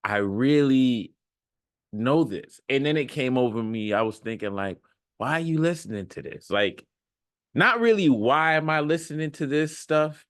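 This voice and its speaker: A man's voice: medium pitch, animated, unhurried, no room sound. A man in his thirties giving an off-the-cuff, informal motivational monologue, slangy and leaning on emphatic repetition, recorded close on a large microphone. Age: 30s. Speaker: self-improvement content creator.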